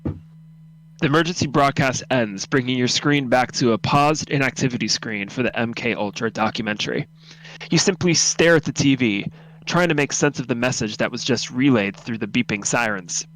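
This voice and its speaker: A man's voice: mid-range voice, moderate pace, a bit bright, low-quality recording. A man reading scripted second-person story narration for a radio drama.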